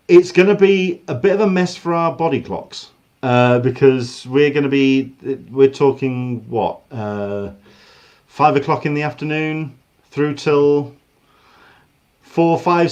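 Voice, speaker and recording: Chatty English man on a video call. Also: mid-range voice. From England. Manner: rambling, restarts often, animated. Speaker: host. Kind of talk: casual livestream chat. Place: home room. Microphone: video call with earphones.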